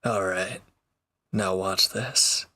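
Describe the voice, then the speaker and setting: A man's voice: sits low, fairly even intonation, extremely slow, sharp tone, heavily acted. A man playing a sardonic, teasing reformed villain in an intimate one-sided roleplay audio drama, with dry, casual delivery. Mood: smug, teasing.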